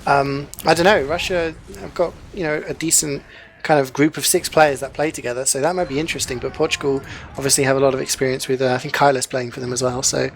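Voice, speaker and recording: A male esports caster talking over a live match at a middle pitch. His delivery is loose and conversational, packed with "you know" fillers and dry little jokes. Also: steady tone, fast talker, gravelly, a little hiss or hum. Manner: chatty, heavy use of "you know" fillers, joking. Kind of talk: casual live game commentary.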